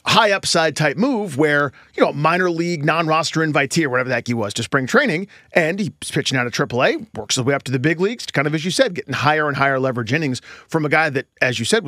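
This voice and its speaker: A man's voice: a little high, pushed and bright, no room sound, studio quality. A man co-hosting a sports podcast, chatting casually and emphatically about baseball in colloquial, hyperbolic language.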